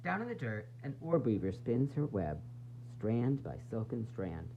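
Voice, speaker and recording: A man reading a children's picture book aloud in an expressive, dramatic, sing-song way, with varied emphasis. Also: mid-range voice, measured pace. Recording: dull lo-fi sound, faint noise and hum.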